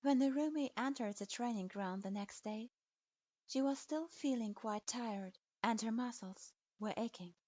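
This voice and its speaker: Slow, calm woman storyteller. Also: a little high, no echo. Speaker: story reader. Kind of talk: reading fan fiction aloud. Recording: dull lo-fi.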